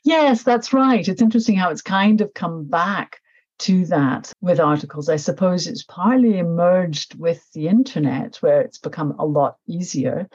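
A woman's voice: on the low side, normal speed, a little mellow, slightly rough, dull audio. A middle-aged woman from Nepal, an author talking reflectively in a casual interview, with many fillers and restarts. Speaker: author, podcast guest.

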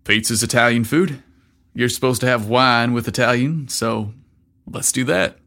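A man voicing a casual, easygoing teenage quarterback in an audio story, relaxed and matter-of-fact. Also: medium pitch, normal speed.

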